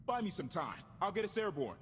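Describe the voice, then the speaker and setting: An actor playing a brash, energetic starship pilot in a sci-fi video game, urgent and bossy. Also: male voice, high-pitched, a little fast. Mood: hurried, bossy. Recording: echoing hall, faint noise.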